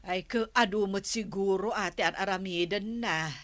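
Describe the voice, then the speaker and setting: A woman's voice: mid-range voice, a little slow, fairly bright tone, no echo, natural voice. A woman acting a village captain's wife in an Ilocano radio comedy drama, chatty and mildly scolding, with an Ilocano accent. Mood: defensive.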